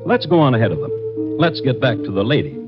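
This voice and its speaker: A man narrating an old-time radio drama as a deliberate, wry, conversational storyteller. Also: medium pitch, very animated, over loud music. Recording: dull lo-fi old radio recording.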